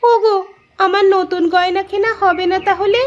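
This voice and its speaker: A woman's voice: very high-pitched, fairly even intonation, a little slow, highs cut off. A woman voicing a plaintive young village wife in a cartoon. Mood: bewildered, fretful. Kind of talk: Bangla cartoon dubbing. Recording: music under the voice.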